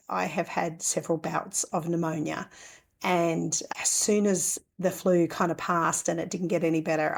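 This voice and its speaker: An Australian woman hosting a homesteading podcast, hoarse and croaky after being ill, talking in a chatty, rambling way that is full of self-corrections. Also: lowish voice. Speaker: podcast host. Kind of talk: casual podcast monologue.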